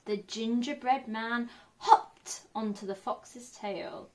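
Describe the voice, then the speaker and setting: A woman's voice: a little high, slow pace. A young woman reading a children's storybook expressively as a teacher, recorded indoors a short way from the camera. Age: young adult. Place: small indoor room, sitting on a bed.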